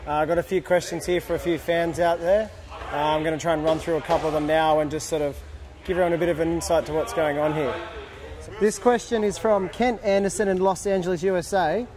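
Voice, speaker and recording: Brief: young male host, slightly high voice, cheerful and jokey, outdoor handheld vlog. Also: steady tone, quick pace. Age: young adult. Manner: cheerful, joking, reads questions aloud. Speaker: sailor hosting a fan Q&A. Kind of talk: casual on-camera chat. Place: outdoors at a boat tent by a harbour. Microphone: handheld camera close up.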